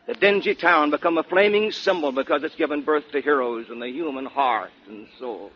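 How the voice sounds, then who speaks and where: A man's voice: fairly high pitch, brisk, a little hiss or hum. A man with an American accent giving a solemn, fervent patriotic recitation in dramatic 1940s radio style. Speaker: guest orator on a radio show. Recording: muffled 1940s radio broadcast recording.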